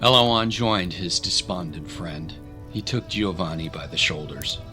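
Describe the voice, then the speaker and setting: A man narrating a scripted dramatic story in a measured, solemn, literary way. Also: low voice, pushed and bright, faint music behind.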